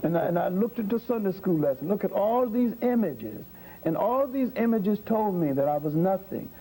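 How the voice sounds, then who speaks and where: A man telling a personal story as an interview guest. His voice is husky and very dark yet sits fairly high, and he speaks earnestly and reflectively, with a few fillers. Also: expressive, normal speed, poor audio.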